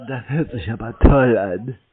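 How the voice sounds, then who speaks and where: A man's voice: mid-range voice, measured pace, slightly rough. A man in a casual phone chat, giving short, naive-sounding answers as he plays along. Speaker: prank call recipient playing along. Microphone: telephone. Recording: tinny narrow phone line.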